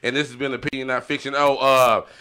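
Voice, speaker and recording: A man with a bright, crisp voice hosts a casual podcast. His pitch stays fairly even, and he talks informally, peppering his sign-off with little check-in tags. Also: fast. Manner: repeats conversational tags like "you know what I'm saying". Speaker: podcast host.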